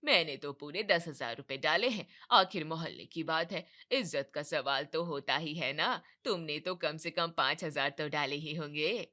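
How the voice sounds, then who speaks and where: A woman's voice: moderate pace, hardly any pauses, pushed and bright. A woman voicing a boastful, nosy middle-aged neighbour who pries and brags. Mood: boastful prying. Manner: lowered, boastful, prying.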